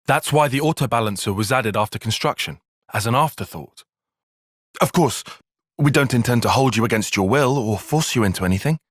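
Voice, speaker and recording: A man's voice: medium pitch, animated, fairly quick, no echo, clean studio sound, close to an everyday voice. A man with a British accent playing an earnest, analytical young soldier in a video game, speaking in formal, precise phrases. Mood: explanatory. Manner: formal, precise, bookish wording. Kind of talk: video game cutscene acting.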